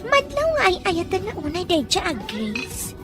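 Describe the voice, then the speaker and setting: A woman's voice: very high-pitched, wildly swooping pitch, moderate pace, heavily acted. A woman voicing a scheming young woman who teases and pries with sly questions, in an audio drama. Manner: teasing, prying questions with sly laughs. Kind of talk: Ilocano radio-style drama acting. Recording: faint music behind.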